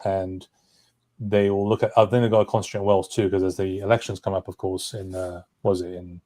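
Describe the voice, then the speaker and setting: A man's voice: low voice, little pitch movement, a little fast, warm tone. A man giving political commentary as a livestream guest, talking on with asides and self-corrections. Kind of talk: political discussion.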